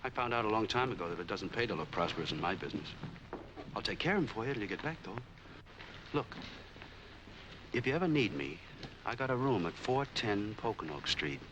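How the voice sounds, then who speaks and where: Humble American street friend, deep deliberate voice, 1940s noir picture with a noisy old soundtrack. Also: male voice, highs cut off. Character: humble, loyal down-and-out friend, plain-spoken. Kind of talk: 1940s film noir acting.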